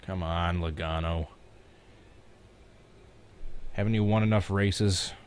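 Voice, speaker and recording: A man's voice: sits low, fairly even intonation, slow pace, slight background noise. A man with a Midwestern American accent, chatting casually and reacting live to a race as a stream host.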